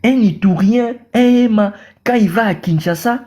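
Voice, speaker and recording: A man with a Congolese French accent makes a fervent political case. His voice is dark and mellow, and he stumbles over repeated words as he presses his point. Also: high-pitched, normal speed. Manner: repeats words, mixes in Lingala. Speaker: caller in a debate. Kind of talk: impassioned political talk.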